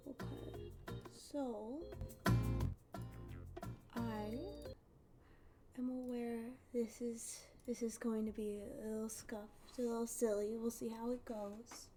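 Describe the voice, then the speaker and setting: A woman's voice: a little high, little pitch movement, slow pace, pauses often, dark tone, faint music behind. A woman livestreaming a game, chatting casually to viewers in a playful, rambling, silly way. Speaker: livestreamer, host of a game stream.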